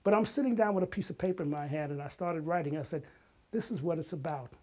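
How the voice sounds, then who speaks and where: Older guy with a high voice telling a story on an interview, dramatic and emphatic, acts out what people said. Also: fast talker, mellow. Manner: dramatic, emphatic delivery with acted-out quotes. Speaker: interview guest, retired artist and playwright. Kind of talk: animated personal storytelling.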